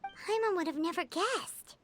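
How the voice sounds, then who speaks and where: Squeaky, excitable little fairy companion. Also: female voice, measured pace, a little hiss or hum. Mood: surprised. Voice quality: squeaky, childlike. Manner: refers to herself in the third person, exclaiming. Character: small chirpy childlike female fairy companion, excitable. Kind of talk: video game character acting.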